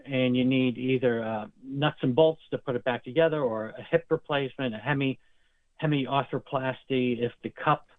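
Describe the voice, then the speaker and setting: A man's voice: medium pitch, no room sound. A man in an interview, explaining nursing and medical terms at length, as a healthcare guest. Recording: tinny phone line, dry.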